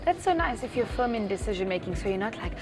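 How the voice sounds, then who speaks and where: A South African woman hosting a youth TV interview in an informal, chatty, expressive way. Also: medium pitch, fast, over music. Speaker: TV show host. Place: TV studio.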